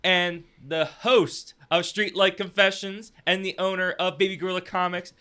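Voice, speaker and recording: A man's voice: high voice, steady tone, normal speed, sharp tone, clear, resonant tone. A man hosting a casual panel chat in an emphatic, jokey way. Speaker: podcast host.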